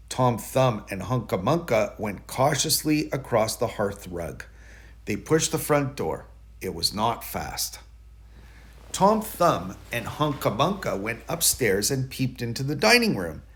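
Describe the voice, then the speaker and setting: A man with a medium-pitched voice reads a children's storybook aloud. His narration is expressive, with dramatic emphasis on key words. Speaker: storybook reader.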